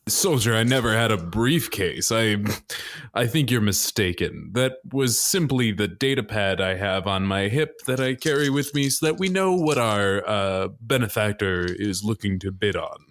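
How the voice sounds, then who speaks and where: Low-voiced man improvising at a tabletop game. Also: moderate pace, fairly bright tone. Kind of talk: casual improvised game narration.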